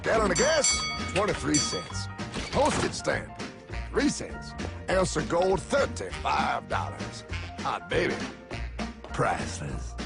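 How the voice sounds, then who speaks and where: A man acts out a brash, fiery cartoon guide with a very gravelly voice. He is theatrical and emphatic, his pitch leaping wildly, with loud music playing behind him. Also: wildly swooping pitch, takes it slowly, exaggerated voice. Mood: playful. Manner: emphatic, theatrical, calls listener pal. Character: brash, fiery male guide exposing banking schemes. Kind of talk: animated cartoon voice acting.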